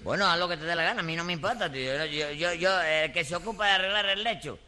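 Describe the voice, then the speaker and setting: A cheeky Cuban rogue of a waiter in a radio sitcom. His voice is fairly high, bright and forceful. He argues stubbornly in brash slang and mangles words without shame. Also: quick pace. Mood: indignant, cocky. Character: cheeky, argumentative male rogue working as waiter. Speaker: comic actor. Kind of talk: radio sitcom comic acting.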